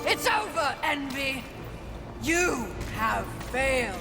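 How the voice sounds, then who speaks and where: A woman's voice: sits high, sing-song, slow, heavily acted. An actress playing a defiant female warrior Horseman in a video game, with a commanding, scornful delivery. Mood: angry scorn. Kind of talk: dramatic video game acting. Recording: loud music behind the voice.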